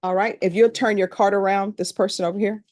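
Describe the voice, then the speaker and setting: A middle-aged woman judge with a Louisiana accent talks to a jury panel in a courtroom. She speaks in a plain-spoken, conversational way. Her voice sits at an ordinary pitch and sounds close and dry. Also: fairly even intonation, fairly quick, a little mellow, dry recording.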